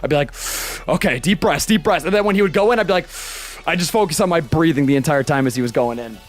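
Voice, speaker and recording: A lively twenty-year-old man talks casually to his stream viewers, voice sitting a bit high for a guy. He swears freely and gestures as he goes, right up on a studio mic, with faint music playing behind him. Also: fast. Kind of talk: casual livestream chat. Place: small bedroom streaming room with purple lights. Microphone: studio mic on boom arm, headphones.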